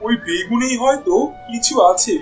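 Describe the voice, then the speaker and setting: A poor village fisherman acted by a man with a high male voice, a devoted family man. He speaks in a plaintive, exclaiming folksy way, as in a cartoon folk tale, over background music. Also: moderate pace. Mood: happy, eager. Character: poor, weary village fisherman, loving father and husband. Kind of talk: cartoon voice acting.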